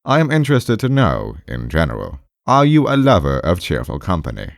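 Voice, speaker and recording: A man's voice: low-pitched, wildly swooping pitch, fairly quick, creaky, no room sound, studio quality, exaggerated voice. A man voicing a pompous, brusque doctor in an audiobook, ponderous and emphatic, with hems and haws. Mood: insistent. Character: pompous, brusque male doctor lecturing his patient. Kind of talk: audiobook character voice.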